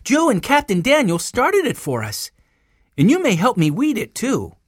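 A woman's voice: fairly high pitch, huge pitch swings, moderate pace, breathy edge, dry recording, studio quality, pitched above the performer's own voice, exaggerated voice. A woman voicing an earnest, responsible older boy in a children's story. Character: helpful, responsible older boy, big brother.

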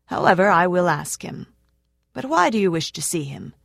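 A woman voicing a calm, stern but fond father who speaks in a measured, restrained way. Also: lowish voice, creaky, dry recording, full character voice.

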